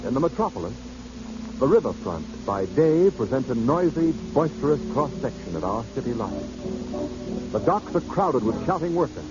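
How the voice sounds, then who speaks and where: A man's voice: medium pitch, big pitch swings, normal speed, over music. A man narrating a vintage radio drama in a theatrical, emphatic 1930s style. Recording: muffled old radio recording, clear noise.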